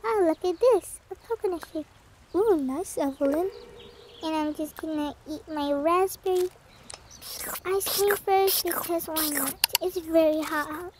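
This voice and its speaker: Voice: very high voice, measured pace, noisy background. A performer playing a cheerful older sister in an amateur family roleplay, girlish and casual in delivery. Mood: content.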